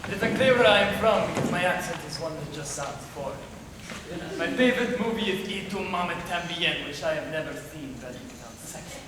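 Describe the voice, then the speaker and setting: A sleazy man with a vague, put-on foreign accent, played by a man, who rushes his words in a low, sultry voice. He is heard from far off in an echoey hall, with background noise clearly audible. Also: expressive, close to an everyday voice.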